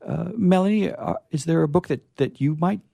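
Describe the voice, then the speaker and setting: A man hosting a casual live discussion, with a hesitant, halting delivery. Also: fairly high pitch, vocal fry, dark tone, no echo. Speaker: host.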